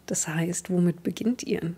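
A woman's voice: lowish voice, fairly even intonation, a little slow, mellow, slightly rough. A young adult woman narrating a tabletop role-playing game as game master, in a vivid, descriptive way.

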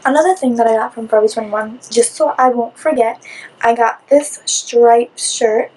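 A young woman vlogger, her voice raspy from a cold, chatting in a rambling way about her shopping haul, recorded on a camera mic in a small room in front of a curtain. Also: fairly high pitch, normal speed, darkish tone, rough recording. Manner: chatty, rambling, with many "like" fillers. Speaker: beauty vlogger. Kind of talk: casual haul video chat.

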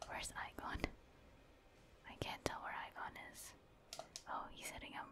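A woman speaking in a soft, breathy whisper, giving casual ASMR commentary in short remarks as she plays a video game. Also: measured pace.

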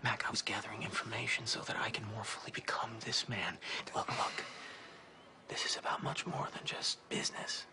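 A man playing a vain, menacing narcissist in a sitcom, with a creepy voice, grandiose and deliberately dramatic in delivery. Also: mid-range voice, sing-song. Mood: creepy, seductive. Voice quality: creepy voice, slipping into breathy whispers. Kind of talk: sitcom monologue acting.

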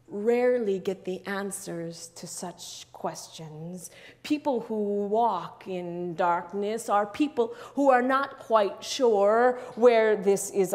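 A middle-aged woman pastor preaching a sermon in a large church sanctuary, earnest and measured, with repeated phrases. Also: medium pitch, darkish tone. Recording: long church reverb.

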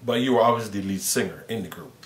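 Male interviewer, very low pitch, conversational, roomy recording. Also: rapid-fire. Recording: roomy sound with faint rumble.

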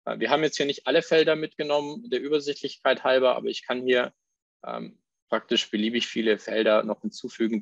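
A man presenting a live software demo, explaining each step as he clicks through it, with fillers and restarts. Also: mid-range voice, creaky, no room sound, highs cut off.

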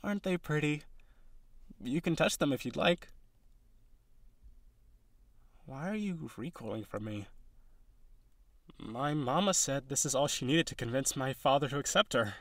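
A man's voice: medium pitch, animated, normal speed, no echo. A man voicing an eager, awkward, insecure young male harpy in an audio roleplay, talking to the listener in an earnest, rambling, nervous way. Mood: anxious showing off.

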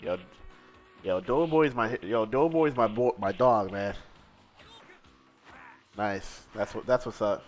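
A man co-hosting a live fighting-game stream, commentating matches and reading chat aloud, excitable and quick to laugh. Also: on the low side, highs cut off, soft background music. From Seattle.

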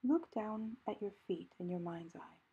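Breathy, mellow woman guiding meditation. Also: medium pitch, lo-fi sound. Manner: calm, gentle, measured instruction with pauses. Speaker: meditation guide. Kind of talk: guided meditation narration.

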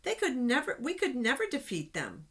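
A woman's voice: high voice, huge pitch swings, normal speed, fairly bright tone, slightly rough, voice pushed up, exaggerated voice. A woman voicing fearful, doubting ancient scouts giving a resigned warning in a children's Bible storybook. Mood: awed, defeated.

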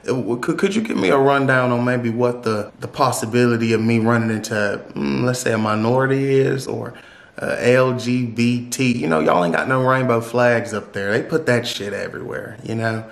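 A man's voice: medium pitch, normal speed, vocal fry, echoey room. A man making a casual prank call, rambling and stammering in a brash, profane way. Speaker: prank caller.

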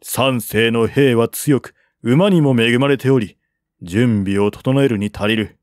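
A man voicing a shrewd, calm military strategist of ancient China in an audiobook, formal and reasoned. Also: mid-range voice, unhurried, no room sound, clean studio sound, natural voice. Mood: confident persuasion.

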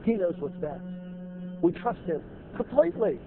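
Man preaching, high-pitched voice, emphatic, repeats phrases, thin phone-like sound with faint hiss. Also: sing-song, normal speed. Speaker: pastor. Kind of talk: sermon. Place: large church sanctuary with choir behind. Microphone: lapel mic. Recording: tinny narrow-band audio, faint noise.

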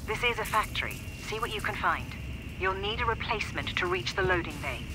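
A man's voice: high-pitched, expressive, brisk, noisy background. A man voicing a remorseful scientist who urgently guides the hero by radio in a video game. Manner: urgent, pleading. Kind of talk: video game cutscene acting. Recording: game audio over loud music.

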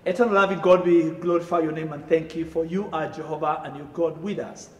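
Kenyan pastor preaching in an echoey church. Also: male voice, fairly high pitch, steady tone, brisk, dull audio. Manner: emphatic preaching with gestures, rising loud on key phrases. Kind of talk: sermon. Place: church sanctuary, indoors. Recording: echoey room reverb.

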